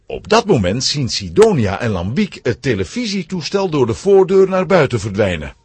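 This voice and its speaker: Animated, slightly husky male storyteller. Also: medium pitch, normal speed, no room sound. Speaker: narrator. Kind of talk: scripted children's story narration.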